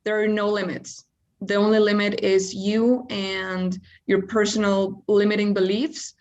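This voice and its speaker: A woman's voice: mid-range voice, flat delivery, measured pace, darkish tone, lo-fi sound. A woman contestant on a video call, earnestly and emphatically explaining her passion. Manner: earnest, emphatic, says literally often. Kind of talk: enthusiastic pitch.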